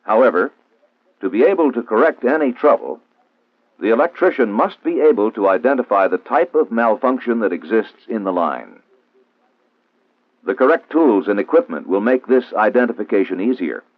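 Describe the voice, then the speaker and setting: An American man reads a scripted instructional narration in a formal, measured, didactic way, in the style of a 1960s training film. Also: mid-range voice, no echo. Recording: old 1960s film soundtrack, narrow phone-like band, dry.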